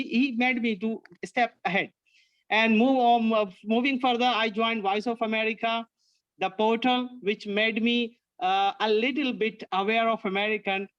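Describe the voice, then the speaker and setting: An Indian man with a fairly high voice talks earnestly over a video call, stopping and restarting as he searches for words. The call audio sounds a bit dull and lo-fi. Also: fairly bright tone. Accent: Indian English. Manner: earnest, halting with many fillers and restarts. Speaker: guest, online English teacher. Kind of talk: casual video-call chat.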